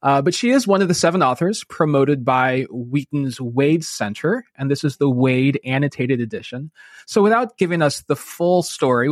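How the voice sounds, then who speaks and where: A man hosting a podcast with measured, articulate phrasing, in the style of an educator presenting and interviewing. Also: mid-range voice. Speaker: podcast host; university teacher. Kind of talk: scripted podcast introduction, then conversational interviewing.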